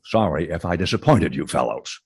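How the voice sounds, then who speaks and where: An actor playing a well-mannered, genial company boss in a radio comedy, hesitant and sputtering but firm. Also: male voice, sits low, expressive, normal speed, darkish tone, husky, no echo. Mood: earnest. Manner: hesitant, sputtering, formal politeness. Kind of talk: old-time radio comedy acting.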